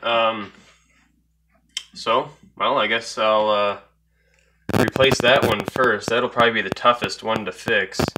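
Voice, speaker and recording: A man's voice: lowish voice, background noise. Offhand man narrating a hands-on repair. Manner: offhand, thinking aloud. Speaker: hobbyist radio repairer. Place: indoor workbench.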